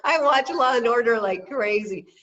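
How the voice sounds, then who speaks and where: A woman's voice: a little high, expressive, moderate pace, a bit bright, highs cut off. A woman in her sixties hosting a podcast over a video call, chatty and joking, laughing often. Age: 60s. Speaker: podcast host and novelist.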